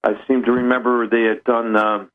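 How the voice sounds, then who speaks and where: A man's voice: mid-range voice, level tone, brisk. A man from Pennsylvania phoning in to a radio show for a casual chat over a narrow phone line. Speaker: phone-in caller.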